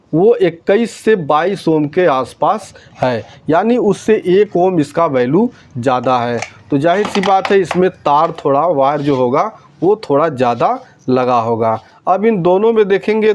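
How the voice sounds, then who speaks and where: A man's voice: on the high side, darkish tone. A man giving a casual, hands-on repair tutorial, explaining step by step and speaking directly to viewers. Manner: conversational, step-by-step, often addressing viewers directly. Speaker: electronics repair tutorial presenter.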